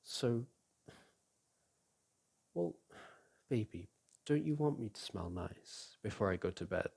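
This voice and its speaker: Slow, gravelly young man. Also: fairly low pitch, expressive. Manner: soft and teasing, with frequent sighs and chuckles. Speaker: boyfriend in an audio roleplay. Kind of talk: intimate ASMR roleplay, speaking to an unseen partner. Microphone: close microphone.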